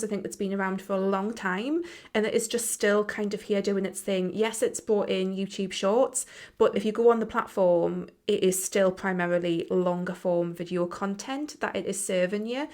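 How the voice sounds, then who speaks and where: A woman in her thirties, a business coach, talking frankly and casually on her own show, swearing now and then. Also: mid-range voice. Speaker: business coach and podcast host. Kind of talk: casual solo talk.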